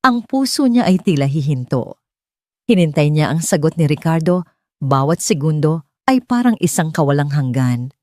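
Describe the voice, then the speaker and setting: Low-voiced woman narrating a dramatic story. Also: very animated, moderate pace, warm tone, dry recording, studio quality.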